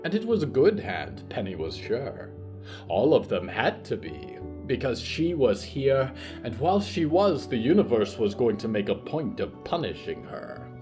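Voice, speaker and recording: A man's voice: mid-range voice, big pitch swings, over music. Theatrical male storyteller with swooping intonation. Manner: deliberate, literary, theatrical. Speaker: narrator of a fiction podcast. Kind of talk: scripted dramatic story narration.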